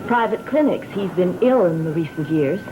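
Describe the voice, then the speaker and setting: A woman's voice: fairly low pitch, expressive, moderate pace, close to an everyday voice. An actress playing a proper, genteel married lady in a gothic television soap, speaking emotively. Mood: reproachful. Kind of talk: gothic TV soap opera acting. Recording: muffled, noisy old TV soundtrack.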